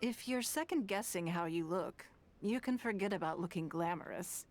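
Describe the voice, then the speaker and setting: A woman's voice: fairly low pitch, normal speed, close to an everyday voice. A woman playing a gracious, self-assured noblewoman in a video game, speaking in a polished, composed way. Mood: relieved, confident.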